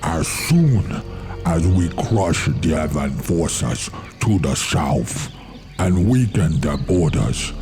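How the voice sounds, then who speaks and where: Game warlord: grim and vengeful, very low, unhurried, declamatory with wide swings in pitch. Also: male voice, full character voice. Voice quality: gravelly. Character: grim, vengeful warlord of a savage fantasy race. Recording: loud music under the voice.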